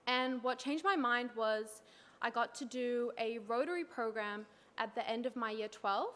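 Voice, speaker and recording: Fairly high-voiced young woman student. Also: moderate pace, a bit bright. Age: young adult. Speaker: student presenter. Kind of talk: presenting to an audience.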